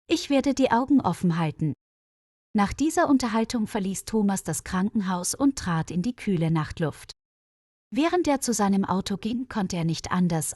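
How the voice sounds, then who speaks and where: A woman reading a scripted story as narrator, in a measured, clearly enunciated way. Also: on the low side, a little mellow, dry recording, studio quality. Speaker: audiobook narrator of a German learners' story.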